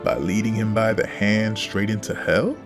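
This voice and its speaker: A man's voice: lowish voice, normal speed, lightly acted. A man playing a stern, commanding Jedi master in an audio drama, accusing and clipped. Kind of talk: fan audio drama acting. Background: loud music.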